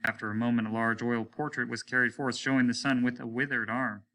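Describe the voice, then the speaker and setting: A man reading a story aloud as an expressive narrator, in the manner of a teacher. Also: mid-range voice, a little fast.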